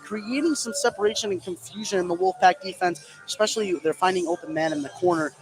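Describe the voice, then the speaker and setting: A man's voice: sits high, fast, faint music behind, rough recording. A man giving live play-by-play commentary on a basketball game, as on sports radio. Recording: clear game noise behind the voice. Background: gym ambience of a basketball game.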